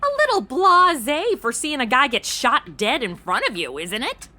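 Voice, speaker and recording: A woman's voice: high voice, big pitch swings, normal speed, bright, forceful tone, exaggerated voice. An actress playing a sassy, blasé modern young woman turned amateur sleuth in a comedy audio drama, sarcastic, slangy and breezy.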